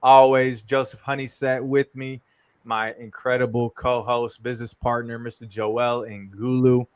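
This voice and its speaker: A man's voice: mid-range voice, flat delivery, unhurried, clear, resonant tone, dry recording. A man co-hosting a podcast, introducing a guest in an upbeat, easygoing way with casual slang. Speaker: podcast co-host.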